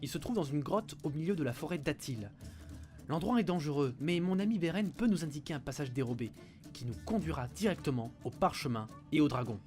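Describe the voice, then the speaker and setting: A man's voice: fairly high pitch, lively intonation, brisk, darkish tone, faint music behind, close to an everyday voice. A man playing a knowledgeable, wry and hesitant mage in an amateur audio fiction. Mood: sheepish, explaining.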